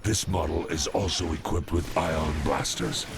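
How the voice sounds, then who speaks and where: A man playing a grave, cautious alien adviser in a video game, gravelly, speaking in a measured, formal, precise way. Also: low voice, steady tone, heavy background noise. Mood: focused. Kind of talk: cinematic video game acting.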